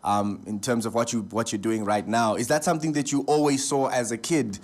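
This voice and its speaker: A man's voice: medium pitch, fairly even intonation, brisk. A male radio host interviewing a guest in a studio, friendly and chatty, with fillers and stumbles.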